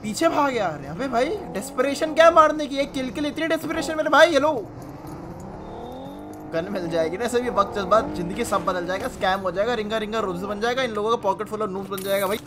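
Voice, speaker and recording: A man's voice: high voice, expressive, a little fast. A man gives casual, slangy, exclamatory commentary on game clips into a close microphone. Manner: slangy, exclamatory, repeats catchphrases. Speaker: gaming YouTuber, host. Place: indoor gaming room. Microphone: close desk microphone, wearing headset. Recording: loud music behind the voice.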